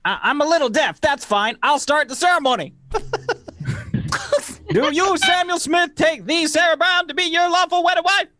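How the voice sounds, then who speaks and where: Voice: very high-pitched, normal speed, sharp tone, no echo. A performer playing a folksy, officious, slightly deaf frontier justice of the peace in a comic radio play. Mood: folksy, befuddled.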